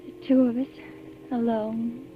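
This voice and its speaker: An actress playing a lonely, naive, romantic spinster bride who grows nervous, with a wistful, fluttery delivery in a 1940s radio suspense style. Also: female voice, on the high side, little pitch movement, unhurried, background noise, close to an everyday voice. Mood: tender. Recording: muffled vintage radio recording.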